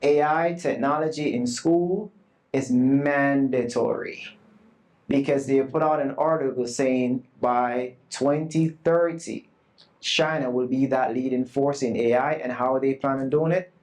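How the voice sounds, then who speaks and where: A man's voice: medium pitch, steady tone, unhurried, a little mellow, rough recording. A man giving a live conference talk to a room of educators, earnest and emphatic, with self-deprecating asides. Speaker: conference presenter. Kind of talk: live summit talk to an audience. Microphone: not loud at first, asked to speak louder.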